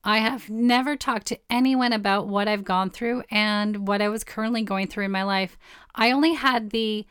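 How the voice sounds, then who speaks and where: A woman in her forties with a hoarse, partly lost voice, hosting a personal storytelling podcast in a candid, blunt way. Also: medium pitch, steady tone, moderate pace, fairly bright tone, dry recording, clean studio sound. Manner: candid, blunt, swears casually. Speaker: podcast host.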